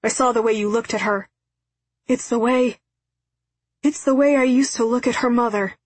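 A woman narrator plays a terrified, tearful father in an audiobook. The voice is raised and husky, pleading breathlessly and repeating itself in anguish. It sounds close and dry. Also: a little high, fairly even intonation, moderate pace, no echo, pitched above the performer's own voice. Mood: desperate pleading. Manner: pleading, breathless, repetitive outcries. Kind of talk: audiobook character acting.

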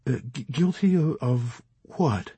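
A man's voice: sits high, sing-song, unhurried, husky, dry recording, voice pushed up, full character voice. A man playing a frantic stammering army chaplain. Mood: bewildered pleading. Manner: stammering, pleading, rising to indignant shouts. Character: bewildered timid male army chaplain, frantic.

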